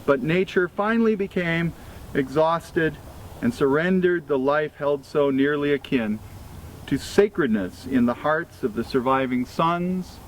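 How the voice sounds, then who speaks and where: A man with an American accent reading a formal obituary tribute aloud in a deliberate, solemn way, pausing between phrases. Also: fairly high pitch, rough recording. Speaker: freemason reader at a memorial event. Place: outdoors at a cemetery event. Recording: clear ambient outdoor noise with vehicles.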